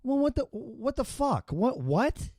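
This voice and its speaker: Blunt, animated middle-aged man. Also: very high voice, unhurried, dry recording, studio quality. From Chicago area, United States. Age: middle-aged or older. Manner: blunt, profane, emphatic, repeats phrases. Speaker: talk show host. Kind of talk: casual opinionated chat.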